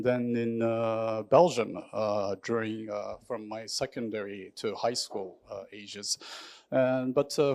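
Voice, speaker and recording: A man from Japan in his thirties, introducing himself on a panel in halting speech full of fillers and restarts. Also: medium pitch, a little mellow. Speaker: panelist, startup executive.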